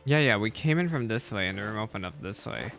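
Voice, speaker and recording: A young man commenting on his own gameplay for his viewers, excitable and quick to complain or cheer. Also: fairly low pitch, faint music behind. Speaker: gaming content creator. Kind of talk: live game reaction commentary.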